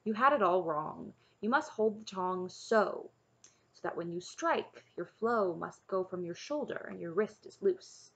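A woman narrator puts on a practical old smith from a storybook. The delivery is blunt and instructive, with a bright, forceful edge. The recording is a little dull. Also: mid-range voice, expressive, a little slow, husky, heavily acted. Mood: critical, instructing. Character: gruff, stout old smith, practical and critical mentor.

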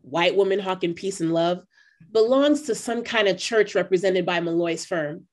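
A woman's voice: lowish voice, normal speed, natural voice. Woman acting a guarded, skeptical job seeker. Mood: skeptical, direct. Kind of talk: online play reading. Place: indoors on a video call. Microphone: video call microphone.

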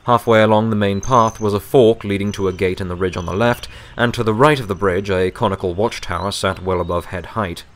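A man's voice: on the low side, brisk, hardly any pauses. A man reading scripted fiction as a story narrator.

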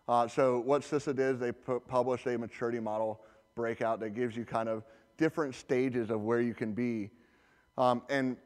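A man giving a conference talk as a presenter, conversational with many fillers and restarts. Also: medium pitch. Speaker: conference presenter, security architect. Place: large conference hall. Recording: reverberant hall.

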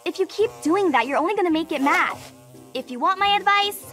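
A woman's voice: very high-pitched, expressive, a little fast, over loud music. A woman voicing a brainy, sarcastic ten-year-old girl in a cartoon game, dry and know-it-all.

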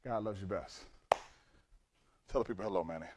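An older man coaching judo in a large gym, explaining takedowns step by step and punctuating each move with "boom". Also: a little fast, very noisy. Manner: punchy, repeats "boom" between steps. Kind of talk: demonstrating techniques to students. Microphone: camera across the room.

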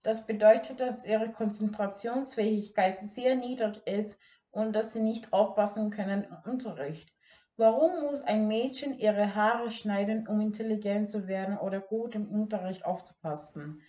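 A teenage girl from Ghana, whose first language is Ga, gives an earnest and measured school speech in a plain indoor room, recorded by a camera set some distance away. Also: mid-range voice, mellow. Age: teens. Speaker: student speech contestant. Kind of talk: memorized bilingual speech. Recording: echoey room.

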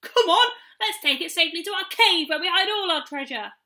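Woman putting on shrill, greedy shouting gnomes. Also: lively intonation, a little fast, full character voice. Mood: gleeful. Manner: loud, yelling exclamations. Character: gang of greedy, excitable thieving gnomes.

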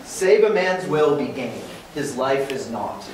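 Man reading as an impassioned holy rebel, animated and echoey. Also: medium pitch, slow. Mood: impassioned, defiant. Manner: declamatory, archaic phrasing. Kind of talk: dramatic reading of archaic verse. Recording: echoey conference room, distant, faint noise.

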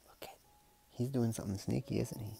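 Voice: medium pitch, fairly even intonation, a little fast, gravelly, mellow. A storyteller reading a picture book aloud in a casual, playful way, with chatty murmured asides.

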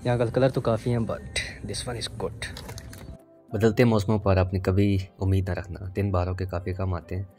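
A man's voice: low-pitched, level tone, moderate pace, mellow. A man in his twenties with a Pakistani accent chatting casually to his phone as a vlogger, explaining things and mixing in English words. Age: 20s. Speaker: vlogger, university student. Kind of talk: casual vlog chat to camera. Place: city streets outdoors and inside shops. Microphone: phone at arm's length. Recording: phone vlog with music laid over. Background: background music.

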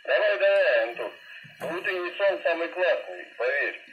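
A man heard over two-way radio, chatting casually and a bit haltingly as an amateur radio operator, picked up from the transceiver's speaker. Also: a little high, animated, highs cut off, slight background noise. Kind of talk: casual ham radio chat. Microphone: radio speaker picked up by phone.

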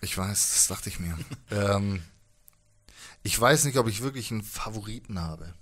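A man in his thirties with a fairly low voice gives a casual interview. He speaks in a halting, reflective way, close to a handheld mic in a living room. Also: lively intonation, fairly bright tone. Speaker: musician being interviewed. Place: living room with instruments, indoors. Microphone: handheld microphone held close.

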